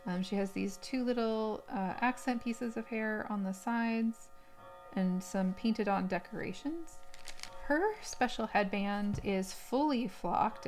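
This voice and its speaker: A woman with a mid-range voice talks through an unboxing review in a casual, unscripted style. She describes things in careful detail, pausing and piecing her phrases together as she goes. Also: medium pitch, darkish tone. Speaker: doll collector reviewing an unboxing.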